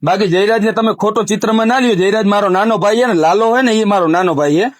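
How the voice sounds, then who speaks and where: A man's voice: high-pitched, fast talker, no echo, clean studio sound. A man in a heated phone conversation, firmly admonishing the other party and repeating himself. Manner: firm, admonishing, repetitive. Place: recorded phone call.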